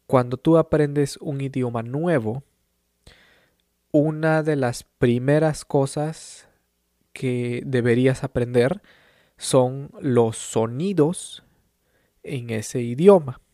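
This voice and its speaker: A man from Peru teaches in an unhurried, deliberate way, letting each phrase land before the next. His tone is calm and reassuring, and he now and then repeats a point in English. The recording is dry and close, with no room sound. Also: mid-range voice, no echo. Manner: calm and encouraging, with brief English glosses. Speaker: language teacher. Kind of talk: slow, clear teaching monologue.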